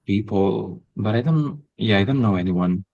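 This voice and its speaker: Podcast guest, man with a Catalan accent, lowish voice, halting and full of ums. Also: expressive, mellow, lo-fi sound. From Catalonia, Spain. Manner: hesitant, many ums and restarts. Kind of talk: casual interview chat.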